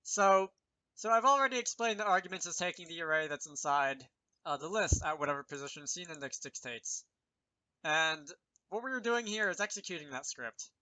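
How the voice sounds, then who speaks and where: A man's voice: on the high side, fairly quick, bright, forceful tone, dry recording, poor audio. A man presenting an informal programming tutorial, thinking aloud with self-deprecating asides as he explains. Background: keyboard typing and mouse clicks.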